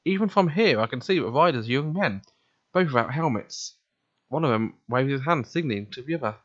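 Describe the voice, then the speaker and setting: A man with a mid-pitched voice hosts a let's play in a casual, slightly halting way. The sound is dull and very dry, like a simple home microphone. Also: lively intonation, no room sound. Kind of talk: reading visual novel narration aloud, casual commentary.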